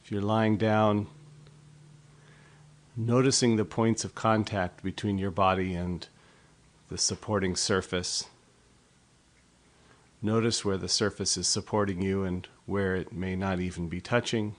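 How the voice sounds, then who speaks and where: A man leading a guided body scan meditation in a slow, soft, unhurried way. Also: low voice, little pitch movement.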